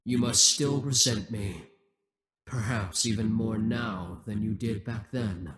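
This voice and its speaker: A man's voice: on the low side, slow, fairly bright tone. A man voicing a possessive, scheming male demon king in an audio roleplay, speaking in a mocking, menacing way.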